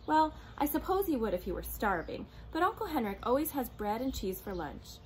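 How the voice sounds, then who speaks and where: A woman voicing a frightened young girl who acts silly and defiant, her voice high and strained. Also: big pitch swings, a little fast, a little hiss or hum. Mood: chattering. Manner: high, breathless, pleading then defiant.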